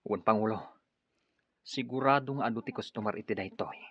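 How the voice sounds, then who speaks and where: A man's voice: on the low side, a little fast, fairly bright tone, muffled recording. A man playing a cold, dutiful henchman, curt and unyielding, in an Ilocano radio drama. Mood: commanding. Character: cold dutiful henchman who obeys his boss.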